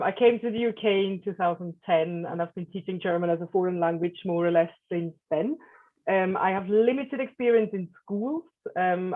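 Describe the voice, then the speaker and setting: A woman from Switzerland, a German teacher and translator, introducing herself on a panel with many hesitant fillers. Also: on the low side. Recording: tinny phone-like audio.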